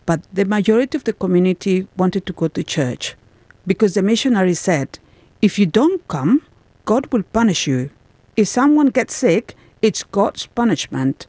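A woman interpreting a guest's answers in the first person, in a measured, plain delivery in a studio. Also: medium pitch, animated, a little mellow, no room sound.

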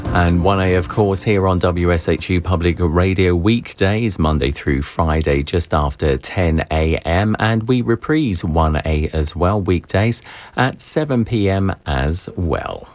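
A man hosting a morning public radio show, reading station announcements and sponsor credits in a measured announcer manner. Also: deep voice, a little mellow, faint music behind.

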